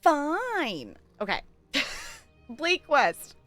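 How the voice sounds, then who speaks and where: A woman's voice: high voice, wildly swooping pitch, very slow, fairly bright tone. A woman giving casual, chatty livestream commentary while playing a video game, with music behind her. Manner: informal, chatty.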